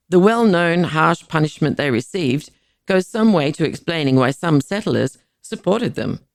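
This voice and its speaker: Aussie guy narrating history podcast, measured and formal, gravelly creak, really dry close sound. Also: a little high, darkish tone, no echo.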